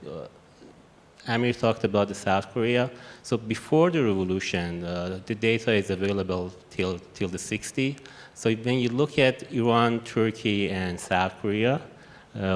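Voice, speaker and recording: A man with a Persian accent speaking on a conference panel, halting, with many restarts and fillers. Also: sits low. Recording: echoey hall with faint noise.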